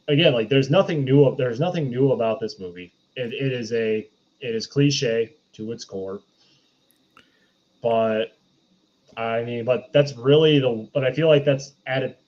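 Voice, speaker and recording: A man of average pitch debates casually on a review podcast. He speaks haltingly and restates his points to stress them. The recording is a little dull and lo-fi. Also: a little mellow. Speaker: podcast panelist reviewing films. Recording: slightly dull, lo-fi voice track.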